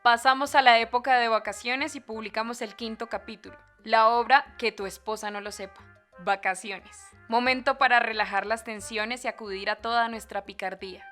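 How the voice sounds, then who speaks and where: A woman's voice: fairly high pitch, steady tone, normal speed, a bit bright, faint music behind. A woman with a Colombian accent hosting a podcast with scripted narration. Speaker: podcast host.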